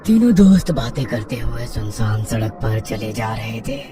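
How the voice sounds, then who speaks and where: A man's voice: fairly low pitch, very animated, moderate pace, warm tone, distant mic, faint music behind. A man narrating a scripted horror story as the storyteller.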